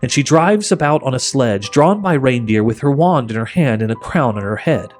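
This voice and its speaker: A man voicing a happy, excited little girl in a storybook, eager and earnest in manner. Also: medium pitch, moderate pace, soft background music, natural voice. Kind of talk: audiobook character voice.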